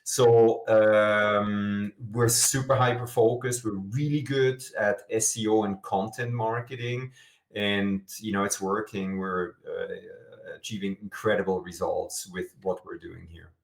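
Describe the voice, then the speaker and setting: A man's voice: fairly low pitch, fairly even intonation, a little slow, creaky, roomy sound. A middle-aged man from Switzerland, a guest explaining his work in an interview with eager, filler-dotted answers. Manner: enthusiastic, explanatory, with frequent "uh" fillers. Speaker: guest, SEO expert.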